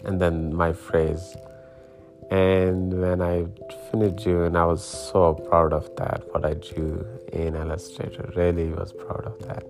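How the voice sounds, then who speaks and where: A man's voice: deep voice, completely flat delivery, unhurried, music in the background. A middle-aged man from Pakistan telling a casual personal story about learning to design and print t-shirts, hesitant with many fillers and restarts. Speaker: hobbyist sharing his t-shirt printing journey.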